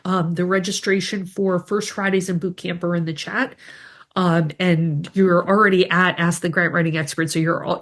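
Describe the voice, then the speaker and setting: A woman with a slightly low voice, congested from a cold, talks over a video call. She runs through updates in a loose, chatty way, full of ums and restarts. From New England. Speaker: grant writing advisor hosting a members' call. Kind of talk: casual video call briefing.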